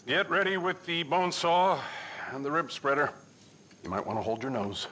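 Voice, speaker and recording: A jaded, bright-voiced coroner. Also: male voice, a little high, sing-song, normal speed, slight background noise. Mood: sardonic. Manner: dry, sardonic, clinical. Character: jaded, wisecracking male senior coroner. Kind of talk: video game cutscene acting.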